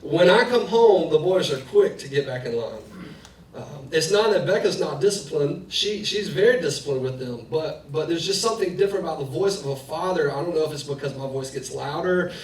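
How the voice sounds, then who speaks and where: A man's voice: a little high, a little fast, darkish tone. A man in his thirties, a pastor giving a conversational sermon full of personal family stories. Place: church sanctuary, preaching in the round. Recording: echoey hall, distant mic, faint noise.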